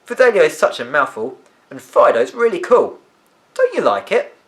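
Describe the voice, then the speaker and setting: A man voicing a breezy, flamboyant, excitable schoolgirl in a children's storybook. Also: fairly high pitch, normal speed, pitched above the performer's own voice. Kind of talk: character voice in children's story reading.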